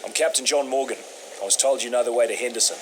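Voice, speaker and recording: An actor playing a gruff, stubborn military captain, blunt and slangy, in a video game cutscene. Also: male voice, mid-range voice, fairly even intonation, fast, background noise, lightly acted. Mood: businesslike.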